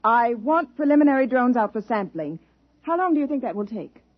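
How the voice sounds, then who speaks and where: A stern woman commanding a space expedition in a vintage radio play. Her voice sits fairly high and she speaks with clipped, impatient authority. The old recording is dull and limited. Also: very animated, a little fast, dry recording. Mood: curt, impatient. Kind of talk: 1950s radio drama acting.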